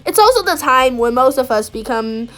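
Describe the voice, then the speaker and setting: A woman reading a children's picture book aloud in an expressive, animated way, with dramatic emphasis on key phrases. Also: sits high, normal speed. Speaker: storybook reader.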